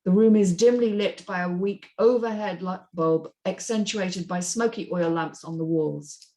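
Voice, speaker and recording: A woman's voice: lowish voice, unhurried, warm tone. A woman reading a screenplay's stage directions as scripted narration.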